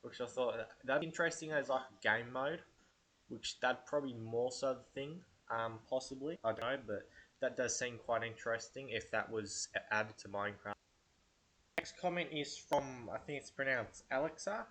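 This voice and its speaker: A man's voice: medium pitch, normal speed, fairly bright tone. A man with a head cold, talking casually and hesitantly through ideas for a game video, full of fillers and restarts. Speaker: gaming channel host. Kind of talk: casual unscripted commentary.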